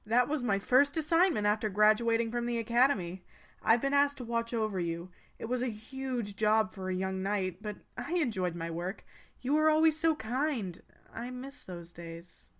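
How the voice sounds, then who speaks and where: A woman's voice: fairly high pitch, expressive, moderate pace, a bit bright. A woman playing a kind, devoted knight and older-sisterly protector, formal and reassuring, in a video game fandub. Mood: fond, nostalgic.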